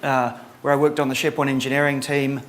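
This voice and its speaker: A middle-aged man from New Zealand with a Kiwi accent, giving a relaxed conference talk with lots of ums and a dry sense of humor. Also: medium pitch, fast talker. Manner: casual, many ums and uhs, dry humor. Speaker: conference presenter.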